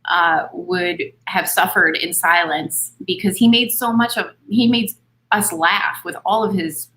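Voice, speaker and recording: A woman's voice: lowish voice, steady tone, measured pace, pushed and bright, poor audio. A woman hosting an interview series, earnest and upbeat, speaking over a video call. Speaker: host.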